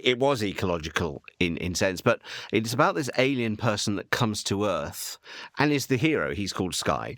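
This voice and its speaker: A man's voice: low voice, fairly bright tone, no echo, clean studio sound. A man giving a chatty, emphatic explanation in an interview, telling a story as he goes.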